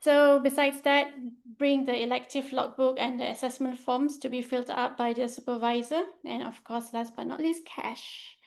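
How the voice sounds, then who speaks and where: A woman's voice: sits high, steady tone, normal speed, creaky. A young woman from Malaysia, a medical trainee, presenting a report in a casual, loose way with fillers, talking from slides. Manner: informal, loose phrasing with fillers, speaks from slides.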